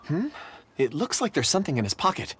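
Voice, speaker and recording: A man playing a gentle, polite and dreamy young character in a video game, earnest in manner. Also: a little high, big pitch swings, fast, a little hiss or hum. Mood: intrigued.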